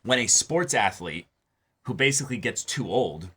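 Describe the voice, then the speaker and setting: A man's voice: medium pitch, animated, normal speed, bright, forceful tone, breathy edge. A man co-hosting a reaction show in casual chat, often repeating words and acting out imagined lines. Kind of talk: casual chat discussing a TV episode.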